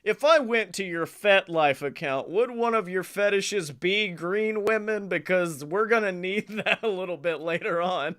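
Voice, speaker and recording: Guy with a high bright voice pitching story ideas on a podcast, jokey and sweary. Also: a little slow, hardly any pauses, clear, resonant tone. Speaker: comedy podcast co-host. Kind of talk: casual chat, animated story pitch.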